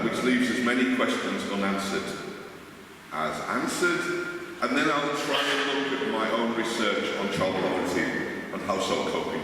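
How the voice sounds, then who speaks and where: A man with a Liverpool English accent giving an academic conference lecture, speaking deliberately and emphatically with wry asides. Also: on the low side, pushed and bright. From Liverpool, England. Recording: echoey hall, distant mic, faint noise.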